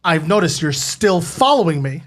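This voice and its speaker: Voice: mid-range voice, very animated, moderate pace, voice pushed down. A player doing a pompous rookie half-elf officer. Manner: bossy, pompous.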